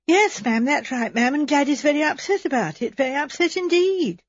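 A woman's voice: sits high, animated, moderate pace, no room sound, lo-fi sound, voice pushed up. A woman doing an anxious young housemaid. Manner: breathless, pleading, rambling.

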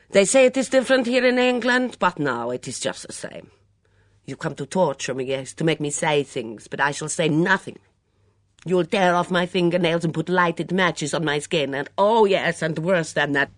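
A woman's voice: extremely low pitch, dry recording, studio quality. An audiobook reader voicing a sullen, suspicious foreign woman cook who talks melodramatically with a foreign accent.

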